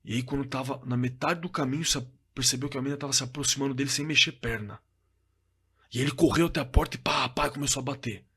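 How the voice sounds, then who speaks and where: A male actor plays a shaken young Brazilian man reliving a scary night for a horror audio drama. His voice is mid-pitched and a little husky. He tells it in everyday speech, haltingly, doubling back on his words. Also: bright, forceful tone, full character voice. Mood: tense. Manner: halting, repeating words, colloquial. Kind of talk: dramatized first-person horror story, told conversationally.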